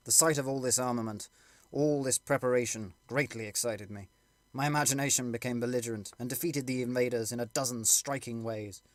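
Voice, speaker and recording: Male narrator for classic fiction, mid-pitched, very dry recording, scripted literary delivery. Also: dry recording. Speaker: audiobook narrator.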